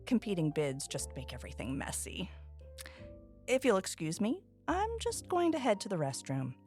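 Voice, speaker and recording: A poised, entitled property developer for an audio drama. She sells her vision with polished, theatrical confidence, in a lively, swooping delivery over background music. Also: mid-range voice, moderate pace. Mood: coolly pressing. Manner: polished, self-assured, theatrical persuasion. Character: poised, assertive female land developer, smoothly persuasive and entitled.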